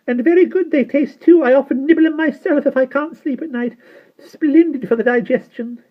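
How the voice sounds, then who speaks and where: A reader voicing a tiny, ancient, bossy shopkeeper woman with a shrill, high little voice and a cackling manner, in a storybook reading. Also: normal speed, highs cut off, close to an everyday voice. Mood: chattering. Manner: cackling, swings from sweet to fierce.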